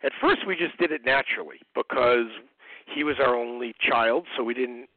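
A man's voice: medium pitch, normal speed, no echo. A middle-aged man with an American accent telling anecdotes in a casual, conversational interview style, as a guest over a narrow phone line. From United States. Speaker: guest, parent and speaker. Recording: narrow muffled phone-line radio sound.

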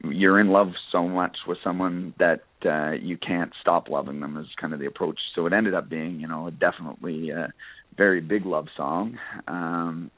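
Very low-voiced man, casual radio interview guest. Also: fairly even intonation, normal speed, no echo, phone-quality sound. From Nova Scotia, Canada. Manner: rambling, peppered with "you know" and "kinda". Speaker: guest, country singer-songwriter.

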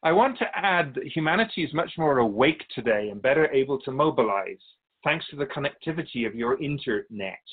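A wise, wry otherworldly keeper of souls acted by a man speaks in a radio drama with calm caution. His pitch moves expressively as he muses and instructs in measured phrases. The sound is a bit dull and lo-fi. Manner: measured, didactic, musing pauses. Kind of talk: radio drama dialogue in interview form.

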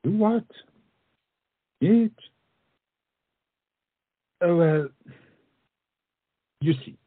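An actor playing a witty French friend and mentor in a stage comedy, speaking in a flowery, coaxing, theatrical way. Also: male voice, high-pitched, animated, very slow, husky, dry recording, narrow, tinny audio, heavily acted. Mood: playful reassuring.

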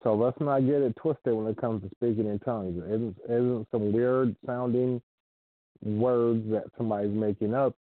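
A man's voice: medium pitch, steady tone, no room sound. A man from Ohio giving an informal, earnest sermon with scripture reading. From Ohio, United States. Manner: earnest, unhurried, repeats himself. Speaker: preacher. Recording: dry tinny phone-like sound.

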